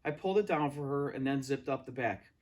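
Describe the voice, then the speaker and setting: A man reading a story aloud as an expressive narrator. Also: medium pitch, fairly quick. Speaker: read-aloud reader.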